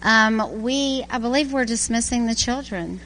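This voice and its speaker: Woman, church presentation, conversational, bright voice, echoey sanctuary. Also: fairly high pitch, a little slow, slight background noise. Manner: conversational with frequent fillers. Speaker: ministry staff speaker. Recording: long hall reverb in church.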